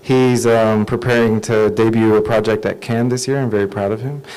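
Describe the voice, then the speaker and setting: A man's voice: lowish voice, steady tone, moderate pace, darkish tone, low-quality recording. A screenwriter introducing his script to a live audience, hesitant and friendly, with many fillers.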